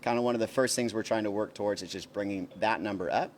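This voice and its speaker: A man's voice: lowish voice, quick pace. A man speaking as a fitness coach, explaining things informally and instructively in a live interview. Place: gym, on location. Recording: faint noise of a gym.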